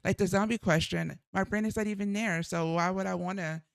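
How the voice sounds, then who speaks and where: A woman's voice: mid-range voice, fairly even intonation, brisk, creaky, no echo, studio quality. A middle-aged woman chatting with her mother, thoughtful and rambling, a little self-deprecating. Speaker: daughter and co-host. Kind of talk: casual chat.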